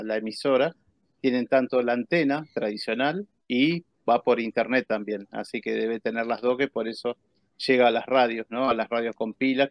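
Gravelly Argentine male host, dry sound. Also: medium pitch, dry recording, muffled recording. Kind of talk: casual chat, interviewing. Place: indoors.

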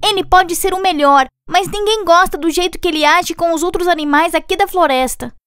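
A woman's voice: very high voice, brisk, fairly bright tone, no echo. A woman voicing a small, disapproving forest animal in a children's cartoon.

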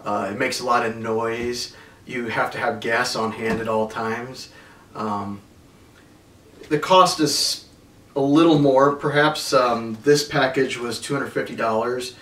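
A man in his thirties unboxing and reviewing camping gear at home, talking in a relaxed, plain way with many ums. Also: mid-range voice, unhurried. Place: carpeted living room at home. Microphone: far camera. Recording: roomy, from far off, faint noise.

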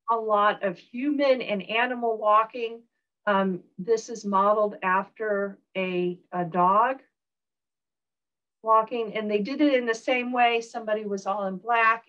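A woman professor with a Southern US accent giving a recorded online lecture, relaxed, with frequent ums and uhs. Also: on the high side, dull audio.

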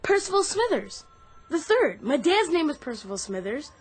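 A precocious little boy in a radio play. The voice is extremely high and bouncy, blunt and cheeky. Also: very animated, normal speed, soft background music. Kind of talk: radio drama acting.

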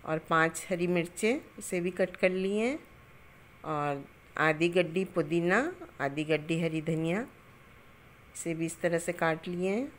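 A middle-aged woman with a somewhat low voice talks through a recipe step by step, calm and homely. She sounds like a home cook recording on a phone in her own kitchen, adding greetings and blessings as she goes. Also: measured pace. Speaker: cooking channel host.

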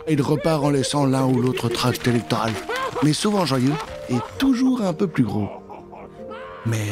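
A middle-aged man narrating a spooky children's tale in a theatrical, playful way, speaking straight to the listeners on a close studio microphone. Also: mid-range voice. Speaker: storyteller, narrator. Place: dark studio booth. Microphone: close studio microphone with pop filter. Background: prominent music.

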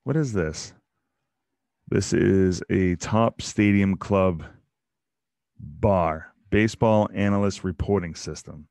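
Low gravelly man chatting at home. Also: slow pace, long pauses, a little mellow, no echo. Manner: rambling, joking asides about players. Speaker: podcast host opening baseball card packs. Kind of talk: casual solo chat. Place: small home room lined with card displays. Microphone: studio mic on boom arm, headphones.

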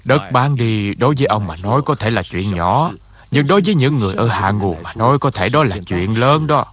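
A man voicing a serene, kindly, wise Buddhist monk master in a cartoon, measured and gently instructive. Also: medium pitch, animated, close to an everyday voice. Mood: concerned. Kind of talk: Vietnamese-dubbed moral cartoon.

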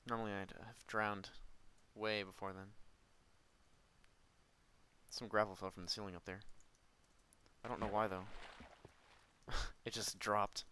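A young man casually commenting on his own gameplay, talking himself through each move, his voice a little hoarse and cracking, with sudden yelps when he gets hurt. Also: fairly low pitch, little pitch movement, measured pace, long pauses, bright, forceful tone. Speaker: Minecraft let's player.

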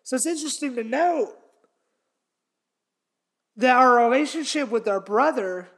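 A man's voice: very high-pitched, lively intonation, unhurried, fairly bright tone. Young guy preaching on his podcast, earnest, keeps repeating the main point, small room. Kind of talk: sermon-style Bible teaching. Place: small indoor room with a red wall. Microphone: fixed camera, head and shoulders framing.

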